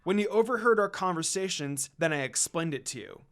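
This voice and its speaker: A man's voice: a little high, expressive, fairly quick. A man voicing a firm young wolf demon woman.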